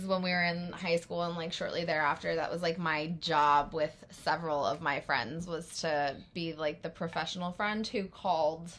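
A woman in her thirties from California, co-hosting a casual podcast, chatty and animated and swearing casually. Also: fairly low pitch. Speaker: podcast co-host. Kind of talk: casual chat.

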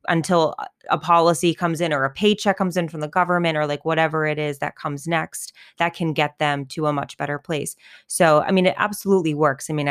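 Low, smooth-voiced woman talking earnestly. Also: dry recording, clean studio sound. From New York City. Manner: earnest, many fillers and restarts. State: losing her voice, coughing. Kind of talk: casual conversation.

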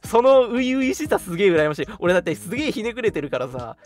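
A man's voice: high voice, very animated, fast. A young man hosting a casual radio chat, talkative, explaining things with asides and little acted-out remarks. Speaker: radio show host. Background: background music.

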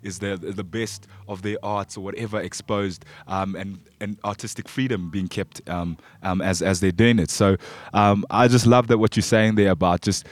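Halting low-voiced male radio host. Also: steady tone, no echo. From Zambia. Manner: halting, repeats words often. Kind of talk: casual radio chat. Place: radio studio.

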